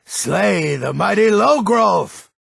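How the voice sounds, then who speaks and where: An actor playing a grumpy, defiant old man in a fantasy video game, with a raspy, gravelly voice and a gruff, theatrical, emphatic delivery. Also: sits high, expressive, slow pace, fairly bright tone, dry recording, clean studio sound, exaggerated voice. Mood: irritable, defiant. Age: older.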